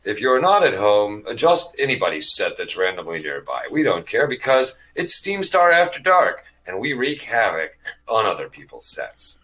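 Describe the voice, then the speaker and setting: Guy narrating a comedy sketch like a mock announcer, super swingy pitch, bright forceful voice. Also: big pitch swings, moderate pace, few pauses, poor audio. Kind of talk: theatrical scripted comedy narration.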